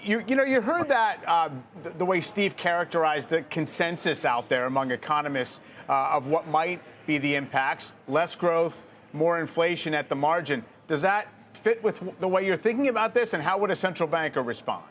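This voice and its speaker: A man's voice: on the high side, moderate pace, hardly any pauses, fairly bright tone. A man anchoring a televised business interview, framing long conversational questions with restarts. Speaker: TV news anchor.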